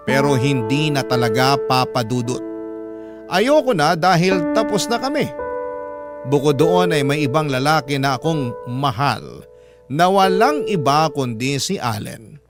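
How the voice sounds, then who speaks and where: A man with a Filipino accent reading a woman's resolute account of heartbreak as scripted radio drama narration, earnest and measured. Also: fairly high pitch. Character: woman letter sender recounting her heartbreak, resolute. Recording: loud music bed under voice.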